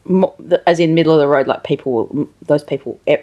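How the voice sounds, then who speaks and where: A woman's voice: deep voice, fast, dark tone, muffled recording. A woman hosting a casual interview, asking questions conversationally. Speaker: interviewer and host. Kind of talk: casual chat.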